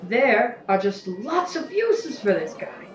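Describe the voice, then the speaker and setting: An actress playing a brash, scheming, informal general in a space audio drama, with a flippant manner. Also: female voice, a little high, sing-song, slow pace, roomy sound, highs cut off, soft background music.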